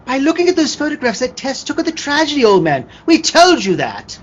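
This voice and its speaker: Man acting genteel radio-play sidekick, very high voice, swooping intonation, theatrical, noisy recording. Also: huge pitch swings, fairly quick, muffled recording, heavily acted. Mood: earnest, insistent. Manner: theatrical, quaint gentlemanly phrasing. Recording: noisy live video-call audio.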